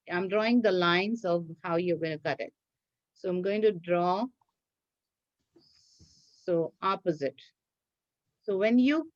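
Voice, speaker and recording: Slow-paced woman teaching a children's craft class. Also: a little high, dry recording, muffled recording. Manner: patient, repetitive, checks with listeners. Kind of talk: step-by-step craft instruction for children.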